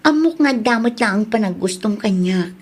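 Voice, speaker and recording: A woman's voice: mid-range voice, lively intonation, moderate pace, close to an everyday voice. A woman playing a giddy, lovestruck Ilocano woman. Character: lovestruck young woman, deluded. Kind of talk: audio drama acting.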